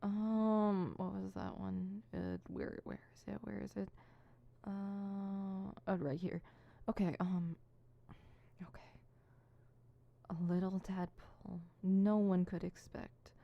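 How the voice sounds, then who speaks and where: A woman's voice: mid-range voice, vocal fry, lo-fi sound. A woman playing an insecure, theatrical supervillain rehearsing monologues in an ASMR roleplay, switching between fumbling mutters and pompous recital. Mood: grandiose, brooding. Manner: fumbling self-conscious muttering broken by pompous verse recital. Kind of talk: ASMR roleplay, scripted solo audio drama.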